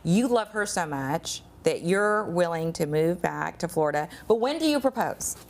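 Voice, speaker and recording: A woman hosting a TV interview show, reacting with lively exclamations. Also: lowish voice, moderate pace. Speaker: talk show host.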